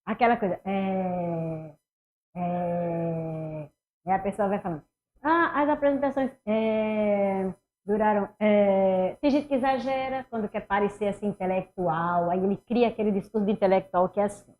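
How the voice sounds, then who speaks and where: A middle-aged woman with a Northeastern Brazilian accent, teaching an animated online exam-prep class and talking students through each step. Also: mid-range voice, measured pace, a little hiss or hum. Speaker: Portuguese teacher. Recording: somewhat dull lo-fi sound.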